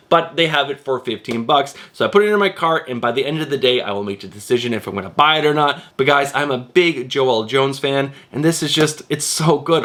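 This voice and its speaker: A man hosting a comic book vlog, talking in an energetic, rambling way to hype up his viewers, his voice a little stuffy from a cold. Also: on the high side. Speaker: comic book channel host.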